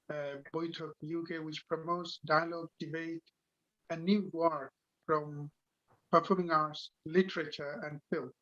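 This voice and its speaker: A man moderating a conference, thanking one speaker and reading out the next speaker's biography in a measured way, with pauses. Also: on the high side, fairly bright tone. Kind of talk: introducing speakers. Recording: dull, lo-fi audio.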